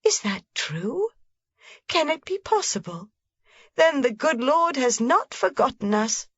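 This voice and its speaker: A woman's voice: high-pitched, big pitch swings, a little slow, fairly bright tone, no echo, pitched above the performer's own voice, exaggerated voice. Woman doing a frail frightened grandmother. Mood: grateful. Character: frail fearful old grandmother. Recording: dry, slightly dull recording.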